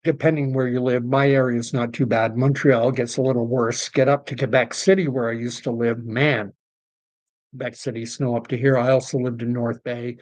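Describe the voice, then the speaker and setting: A middle-aged man speaks at an ordinary middle pitch, worn out and hoarse late into a night stream. He rambles through viewer messages and gives his blunt opinions from a bare-bones home setup. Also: level tone, moderate pace, darkish tone. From Canada. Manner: rambling, opinionated, reads out and answers chat messages. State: tired, voice going hoarse. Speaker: livestream host reading viewer chat. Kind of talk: late-night solo livestream commentary.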